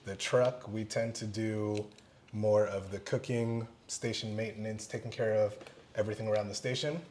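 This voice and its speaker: A young man, a firefighter-paramedic, calmly explaining station life while cooking, with polite "yes, ma'am" replies. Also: fairly low pitch, normal speed. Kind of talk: casual cooking chat. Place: large fire station kitchen, indoors. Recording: clear background noise.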